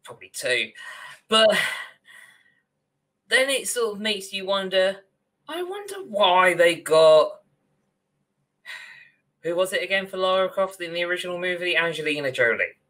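Lively bright-voiced young man. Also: sits high, measured pace, pauses often. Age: young adult. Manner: opinionated, ranting. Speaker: podcast co-host. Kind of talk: casual film and game discussion. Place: indoor room, on a video call.

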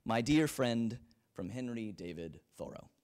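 A young man reads historical letters dramatically to a room through a handheld mic. His pitch moves about in a lively way, and his delivery is wry and literary. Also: measured pace. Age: young adult. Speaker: reader at a library event. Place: indoor event room with small audience. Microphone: handheld microphone.

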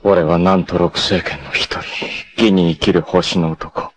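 A man's voice: low-pitched, fairly even intonation, measured pace. A man voicing a proud, doomed young martial-arts warrior bent on revenge in a 1980s anime, with intense, theatrical, solemn delivery. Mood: grave, resolute. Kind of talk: dramatic 1980s anime voice acting. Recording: noisy old TV anime soundtrack clips.

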